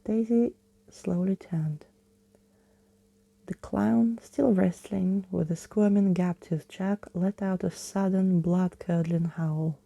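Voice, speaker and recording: Very soft, husky woman reading ASMR stories. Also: fairly low pitch, steady tone, slow pace, dry recording. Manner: hushed, often slipping into whisper. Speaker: ASMR storyteller. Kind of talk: ASMR bedtime story reading.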